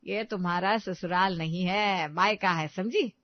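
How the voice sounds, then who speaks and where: A woman's voice: lowish voice, a little slow, dry recording, highs cut off, close to an everyday voice. A woman voicing an affectionate, firm older mother-in-law in a family story, bossy but loving. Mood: reassuring.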